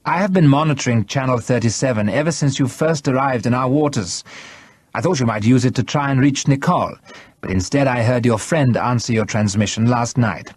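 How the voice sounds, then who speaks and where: Audiobook villain, acted by a man: mocking, menacing, falsely polite, raised pitch, dry studio sound. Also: a little fast, breathy edge, no room sound, natural voice. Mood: smug, cool. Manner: casual, scornful, mock-polite. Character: mocking, coolly menacing male villain with foreign phrasing. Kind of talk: audiobook character acting.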